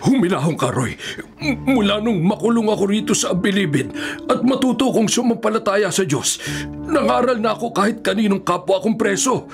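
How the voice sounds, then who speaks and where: High-voiced, pious elderly Filipino convict. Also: male voice, expressive, moderate pace, gravelly, faint music behind. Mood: anxious, pleading. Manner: earnest, pleading, preachy. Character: devout, peaceable elderly male convict who preaches. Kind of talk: Filipino radio drama acting.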